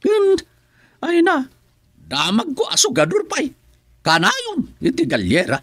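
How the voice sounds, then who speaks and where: A woman's voice: high voice, huge pitch swings, unhurried, no room sound, exaggerated voice. A woman playing an aggrieved parent-in-law, whining and pleading dramatically in a comedic radio drama. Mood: exasperated complaining.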